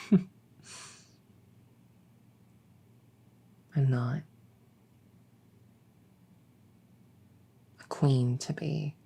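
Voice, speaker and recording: Woman acting a slow, husky, weary young princess. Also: sits low, mellow, heavily acted. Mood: wistful, longing. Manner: hesitant, halting, conversational. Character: young human princess, weary, dutiful, wistfully longing for freedom. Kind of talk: intimate audio roleplay acting.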